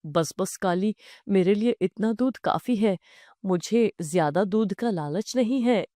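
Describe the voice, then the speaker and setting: Woman as humble male farmer, earnest, pleading, cartoon moral story, mid pitch, dry sound. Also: a little slow, dark tone, no room sound, close to an everyday voice. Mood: grateful.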